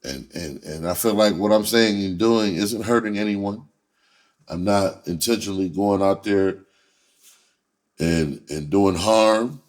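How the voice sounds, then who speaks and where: A man's voice: on the low side, unhurried. A man talking casually and candidly in a blunt, unfiltered, profane manner. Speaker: entertainer, rapper and writer.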